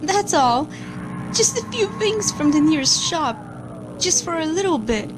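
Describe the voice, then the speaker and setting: Woman acting a high-voiced, guilt-ridden scientist over music. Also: sing-song, poor audio. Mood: shaken, regretful. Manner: halting, stammering, anxious. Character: shaken, guilt-ridden woman scientist recording a lab log. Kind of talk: audio log monologue in an analog horror series.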